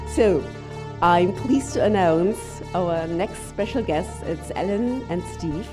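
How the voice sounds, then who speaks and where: A woman introducing speakers on a conference stage in a polite, halting, formal way. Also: lowish voice, music in the background. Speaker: conference presenter introducing guests.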